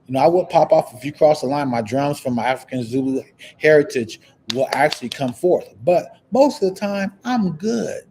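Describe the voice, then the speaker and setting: A man's voice: on the high side, lively intonation, moderate pace, background noise. A man with a lisp and a slight stutter, hosting a live online talk show in a casual, motivational way, repeating phrases and reacting to viewer comments. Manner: repetitive, exclamatory, reading viewer comments aloud.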